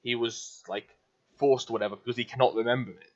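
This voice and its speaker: A man's voice: mid-range voice, fairly even intonation, fast, a little mellow, dull audio. A man giving casual commentary on a game as a streamer.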